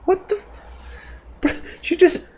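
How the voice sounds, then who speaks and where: A man doing casual, rambling game reaction commentary as a streamer, with joking asides. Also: slow pace. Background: faint music.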